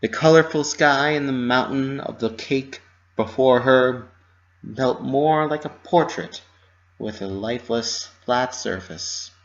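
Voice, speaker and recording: A man's voice: mid-range voice, takes it slowly. A man giving a dramatic scripted reading of a fan fiction story as its narrator. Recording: muffled lo-fi home recording.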